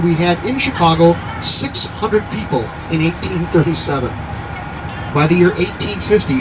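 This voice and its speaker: A man in his sixties giving a guided city tour to a group, chatty and jokey, often quizzing his listeners. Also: sits high. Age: 60s. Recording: noisy outdoor recording with traffic.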